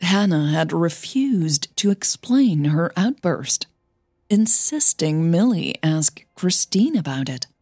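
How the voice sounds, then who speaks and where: A woman's voice: lowish voice, very animated, takes it slowly, a little mellow, no echo, clean studio sound. A woman hosting a fiction podcast and reading scripted story narration.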